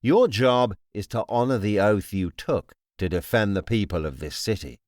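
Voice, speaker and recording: A man playing a composed, imperious necromancer lord in an audiobook, speaking formally and with measured, polite menace. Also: lowish voice, expressive, a little mellow, no room sound, clean studio sound, lightly acted. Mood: calm, commanding. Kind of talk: audiobook character acting.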